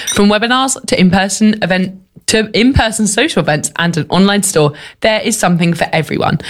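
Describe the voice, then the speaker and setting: A young woman co-hosts a relaxed talk show, her voice congested from a cold. She talks at a mid pitch and rambles through sponsor reads and research, using plenty of filler words. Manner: chatty, many fillers like "like" and "um". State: stuffy from a cold. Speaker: podcast co-host. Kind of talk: casual chat.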